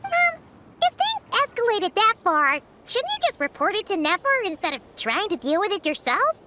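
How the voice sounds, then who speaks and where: A woman's voice: extremely high pitch, very animated, moderate pace, background noise. A woman voicing a small, timid but sensible child in a video game, hesitant and concerned. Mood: cautious.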